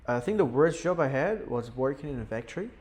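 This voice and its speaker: A young man from Syria talks through his past on a podcast, relaxed and conversational. His voice sits at an average pitch, and he fills pauses with easy "um"s and "you know"s. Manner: relaxed, storytelling, frequent fillers. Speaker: podcast guest. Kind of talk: casual podcast interview. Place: indoor podcast setup.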